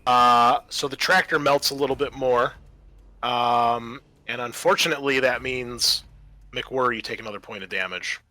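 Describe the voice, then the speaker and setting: A man's voice: mid-range voice, fairly even intonation, normal speed, pushed and bright, no room sound. A man narrating a tabletop horror roleplaying game as game master, casual and prone to swearing.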